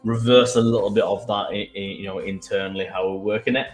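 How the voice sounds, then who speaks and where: A man with a Mancunian accent, co-hosting a casual business podcast and explaining web development in a conversational, halting way. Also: fairly low pitch, steady tone, reverberant, soft background music. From Manchester.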